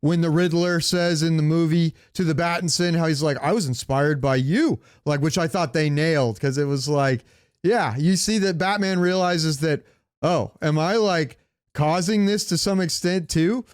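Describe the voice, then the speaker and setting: A man's voice: a little high, normal speed, clean tone, no echo, clean studio sound. A man chatting casually and enthusiastically as a podcast co-host, with some loose profanity. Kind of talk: casual pop-culture podcast chat.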